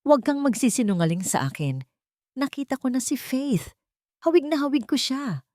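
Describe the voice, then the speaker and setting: A woman's voice: sits high, lively intonation, moderate pace, warm tone, no echo, clean studio sound, voice pushed up. A woman voicing a wealthy young man, a wounded lover pleading emotionally in a radio-style drama. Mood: angry.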